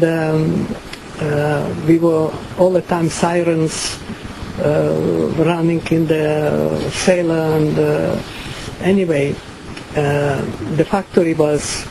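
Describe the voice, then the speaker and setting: An older woman from Ukraine with a Ukrainian accent, giving slow, halting personal testimony full of fillers and pauses. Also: low voice, gravelly, noisy background. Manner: many pauses and fillers, non-native phrasing. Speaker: survivor giving a talk.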